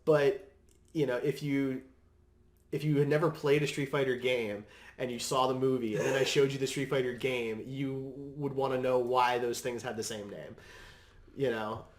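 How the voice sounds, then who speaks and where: A man's voice: medium pitch, steady tone, moderate pace. Male podcast host, mid-range voice, casual chat style, noticeable room echo. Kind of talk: casual chat about movies and games. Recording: roomy, echoing room sound.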